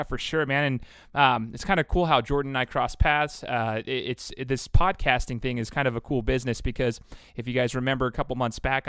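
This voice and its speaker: A man's voice: mid-range voice, fairly bright tone, smooth, no room sound, studio quality. A man hosting a casual sports interview podcast, upbeat and chatty with plenty of fillers. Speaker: podcast host.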